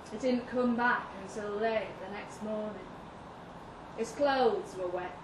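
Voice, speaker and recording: A woman giving an expressive, measured first-person reading of a literary short story at a live event. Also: on the high side. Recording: roomy live venue, distant mic, noisy.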